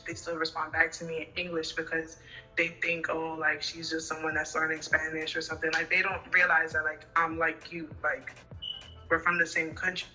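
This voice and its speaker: A young woman talks into her phone from a small bedroom on a video call. Her voice is very bright and sharp, held to a narrow, flat pitch range. She chats casually and fast, dropping "like" all through her sentences, with faint music behind her. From Honduras, Garifuna. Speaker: guest. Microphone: phone at arm's length on video call.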